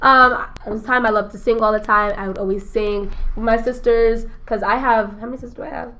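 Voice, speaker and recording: A young woman in her late teens, talking as a guest on a casual podcast. Her voice sits a little high, and she laughs often as she chats in an upbeat way. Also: fairly even intonation, a little fast, sharp tone. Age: young adult. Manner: giggly, chatty, upbeat. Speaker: guest, cosmetics founder.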